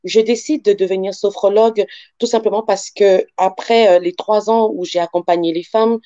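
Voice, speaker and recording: A woman's voice: mid-range voice, fairly even intonation, normal speed, dark tone, dry recording, dull audio. A woman from France with African roots, speaking as a guest sophrologist in an interview and explaining step by step with examples and rephrasing. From born African, grew up in France. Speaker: guest, sophrologist and life coach.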